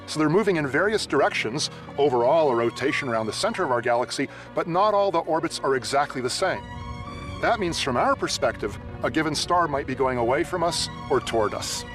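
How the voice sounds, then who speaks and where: Measured man explaining science over music. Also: on the high side, expressive, fairly bright tone. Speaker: expert interviewee. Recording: music laid under interview.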